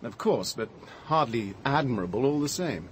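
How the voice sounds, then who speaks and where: An actor playing a shaken, wry British man in a radio drama, telling his story in a measured, dry, hesitant way. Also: mid-range voice, expressive, close to an everyday voice. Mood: uneasy, reflective. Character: shaken, wry Englishman recounting finding a murder victim. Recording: radio drama with faint background noise.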